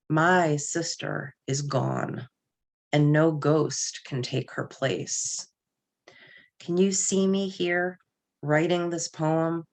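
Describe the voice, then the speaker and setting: A woman poet with a low voice gives a reading of her work. Her delivery is very slow and solemn, each phrase set down deliberately. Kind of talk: poetry reading with brief spoken introductions.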